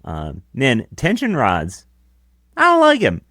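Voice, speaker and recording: A man in his thirties with a deep voice, co-hosting a podcast in casual chat from a small home studio on a close broadcast mic. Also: wildly swooping pitch, slow, a bit bright, no room sound. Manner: chatty, explaining his gear with casual asides. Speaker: podcast co-host. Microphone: close dynamic broadcast mic.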